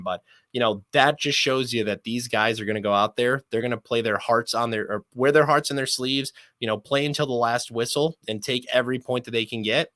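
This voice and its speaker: Guy doing sports talk as a guest, bright punchy voice, really enthusiastic and wordy, no room echo. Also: medium pitch. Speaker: sports broadcaster interviewed as guest.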